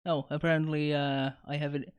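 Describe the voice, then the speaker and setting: A man chatting with friends during a gaming stream, full of quick quips. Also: a little high, level tone, smooth. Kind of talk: casual chat while gaming.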